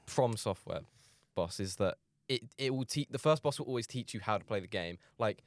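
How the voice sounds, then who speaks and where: A man chatting casually in a group, animated and swearing freely. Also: lowish voice, normal speed, no echo. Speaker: podcast co-host.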